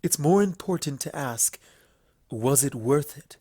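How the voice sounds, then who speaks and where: A man voicing a solemn, guilt-burdened pony princess in a storybook reading, speaking formally and gravely in measured phrases. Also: a little high, lively intonation, dark tone, no echo, studio quality. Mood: regretful, subdued. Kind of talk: fan fiction storybook reading.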